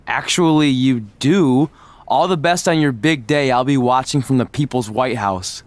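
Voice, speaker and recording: An actor playing an eager, joking young pro wrestler in a video game cutscene. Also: male voice, mid-range voice, normal speed, no echo, lightly acted. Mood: excited. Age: young adult.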